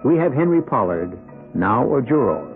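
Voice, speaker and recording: Mystery host: ominous wry storyteller, gravelly low voice with sweeping intonation, dramatic pauses, old radio sound. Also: male voice, very animated. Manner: theatrical, deliberate, with dramatic pauses. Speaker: host and narrator. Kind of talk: 1970s radio mystery narration. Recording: muffled 1970s radio broadcast, music behind.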